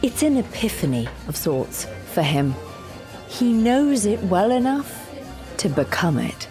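An actress playing an idealistic, excitable screenwriter in an audio drama, earnest and wordy, a little anxious. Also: female voice, medium pitch, measured pace, music in the background. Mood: earnest pitching. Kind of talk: audio fiction acting.

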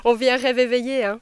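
A woman with a Belgian French accent, chatting casually in an interview, dreamy and halting, laughing often. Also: high-pitched, gravelly, fairly bright tone, smooth, dry recording. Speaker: interviewee, traveler.

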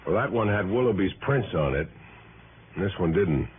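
Low-voiced police sergeant, old radio. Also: male voice, animated. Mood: matter-of-fact. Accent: American. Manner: casual, clipped, halting cop talk. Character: gruff, matter-of-fact American police sergeant. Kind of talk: 1950s-60s radio detective drama acting. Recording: muffled, noisy old radio broadcast.

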